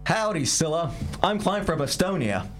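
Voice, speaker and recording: Woman playing a young man, American accent, low voice, defensive, easily riled. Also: sing-song, moderate pace, soft background music. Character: touchy young man claiming to be Estonian.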